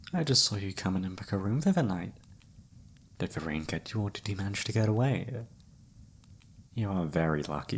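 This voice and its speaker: A man playing a flirty, theatrical travelling palm reader in a fantasy ASMR roleplay, teasing and dramatic with a breathy, husky voice. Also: low-pitched, full character voice. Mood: smooth, welcoming.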